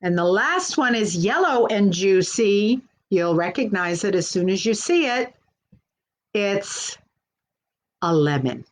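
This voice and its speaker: A woman's voice: medium pitch, animated, slow pace. A woman working as a children's librarian, hosting a live storytime in a simple, encouraging way and speaking directly to young children.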